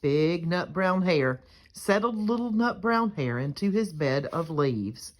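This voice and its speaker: A woman's voice: lowish voice, animated, takes it slowly. A woman teacher reading a picture book aloud to young children, explaining things in simple terms.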